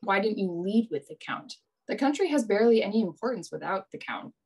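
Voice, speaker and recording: A woman's voice: mid-range voice, sing-song, brisk, gravelly, a little mellow, husky, heavily acted. A woman playing a brash, wry young male adventurer in a radio play, with a dry, teasing manner. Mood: teasing, impatient.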